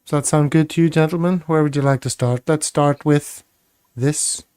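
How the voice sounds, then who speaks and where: Guy hosting a footy podcast, chatty and upbeat, smooth clear voice, dry sound. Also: a little high, steady tone, moderate pace, a little mellow, dry recording. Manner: upbeat, chatty, reads out chat comments. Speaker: podcast host. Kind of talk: casual football podcast chat.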